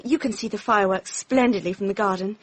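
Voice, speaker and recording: A woman's voice: medium pitch, fast talker, dry recording, close to an everyday voice. An actress playing a flighty, theatrical young society woman with an English accent in a radio drama. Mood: bright, sociable.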